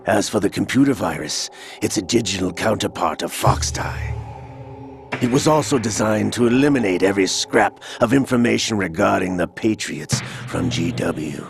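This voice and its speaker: An actor playing a cold, scheming older male villain in a video game, calm, measured and mocking as he lays out a plot. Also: lowish voice, vocal fry. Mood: smug, coldly explaining. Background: game score music.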